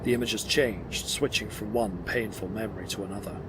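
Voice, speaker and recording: A man's voice: lowish voice, fairly quick, noisy background. A man giving dramatic scripted narration for an audio drama.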